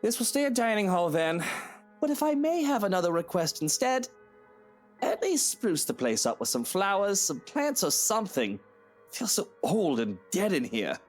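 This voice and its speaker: A man's voice: high voice, sing-song, moderate pace, soft background music. An actor voicing a bossy, wealthy male estate owner in a video game, brash and teasing in his commands. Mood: demanding, restless.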